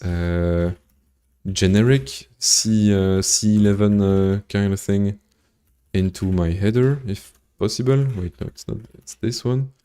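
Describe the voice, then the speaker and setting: Low, slow man coding. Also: dark tone. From Belgium. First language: French. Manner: thinks aloud, halting with many fillers and restarts. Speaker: programmer streaming his coding. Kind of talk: live coding explanation, answering chat.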